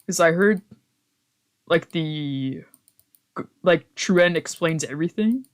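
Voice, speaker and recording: This is a game streamer with a mid-pitched voice. The delivery moves between casual, slangy chat with some swearing and reading visual novel text aloud. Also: slow.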